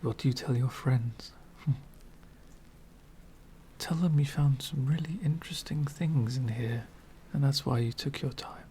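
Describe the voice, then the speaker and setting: Slow, whispering elegant male vampire acted by a man. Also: mid-range voice, slight background noise, close to an everyday voice. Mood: gracious, wistful. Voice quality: soft, breathy whispering. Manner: formal, wistful, slow and deliberate. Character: centuries-old elegant male vampire, lonely, courteous. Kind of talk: ASMR roleplay monologue.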